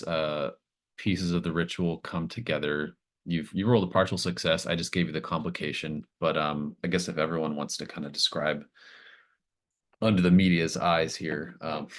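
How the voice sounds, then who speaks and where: A man running a tabletop roleplaying game as game master, improvising narration and thinking aloud in a hesitant way. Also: rumbling low.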